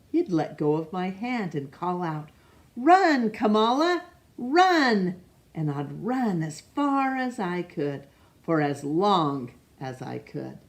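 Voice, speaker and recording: A woman reading a picture book aloud to children, expressive and pausing to ask young listeners questions. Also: deep voice. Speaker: storytime reader.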